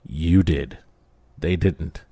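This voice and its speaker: A man's voice: very deep voice, steady tone, drawn-out words, dark tone, breathy edge, no room sound. A man chatting casually as a podcast guest, with a jokey, self-deprecating manner. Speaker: podcast guest, audio drama creator. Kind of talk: animated casual podcast chat.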